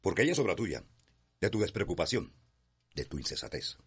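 An actor playing a stern, domineering colonial father in a radio drama, with a Cuban accent and a scolding, rough delivery. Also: deep voice, sing-song, fairly quick, fairly bright tone, heavily acted. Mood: angry, threatening. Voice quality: husky, rough. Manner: scolding, accusatory.

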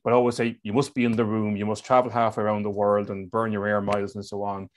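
A man's voice: lowish voice, monotone, quick pace. A middle-aged man with an Irish accent, speaking emphatically as a webinar panelist over a headset. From Ireland. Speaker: education leader, webinar panelist. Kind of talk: explanatory panel talk. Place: indoors on a video call.

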